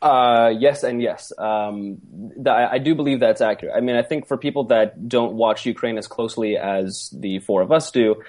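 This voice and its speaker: A man speaking as an interview guest, hesitant and reflective, full of pauses and restarts. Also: medium pitch, a little mellow. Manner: hesitant, many ums and restarts. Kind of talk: conversational interview.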